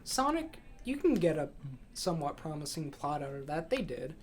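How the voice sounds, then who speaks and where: A man's voice: a little high, very animated, normal speed, a little mellow, faint music behind. A man talking informally about movies as a podcast co-host. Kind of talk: casual movie chat. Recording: noisy amateur room recording.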